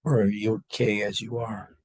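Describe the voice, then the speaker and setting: A man with a husky, fairly low voice leads a guided meditation, recorded close and dry. He talks as a spiritual teacher to his group, unscripted and halting. Long pauses separate his short phrases. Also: flat delivery, a little mellow, dry recording.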